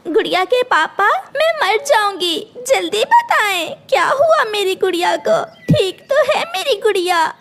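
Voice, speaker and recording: A woman's voice: extremely high pitch, big pitch swings, a little slow, hardly any pauses, fairly bright tone, voice pushed up, full character voice. A woman voicing an anxious, protective mother bird in a cartoon, pleading and tearful with grief. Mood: panicked.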